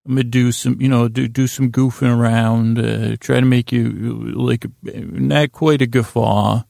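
A man's voice: medium pitch, fairly even intonation, creaky, darkish tone, no echo, studio quality. A man hosting a bedtime podcast, talking in a lulling, meandering way that halts, doubles back and drifts into tangents. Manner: meandering, halting, self-interrupting, full of tangents; lulling, soothing delivery. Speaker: podcast host. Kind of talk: rambling bedtime monologue to help listeners sleep.

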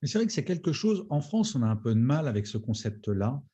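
A man's voice: medium pitch, very animated, a little fast, dark tone, breathy edge, highs cut off. A man in his forties hosting a podcast interview, enthusiastic and a little theatrical. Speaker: podcast host.

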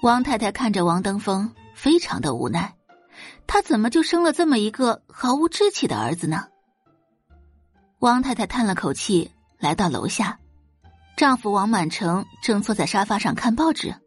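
A woman narrates an audio drama from a script in a dramatic style. Her pitch moves widely, with a slightly husky tone, very dry and close, over faint music. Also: sing-song, moderate pace, no echo.

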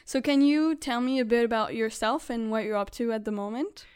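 A woman's voice: a little high, fairly quick, darkish tone, clear, resonant tone. A woman hosting a podcast and asking questions in a conversational interview style.

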